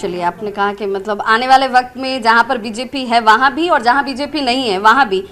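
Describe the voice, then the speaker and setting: A woman's voice: on the high side, fairly quick, fairly bright tone. A woman working as a TV news interviewer, putting long, pressing questions in a political interview.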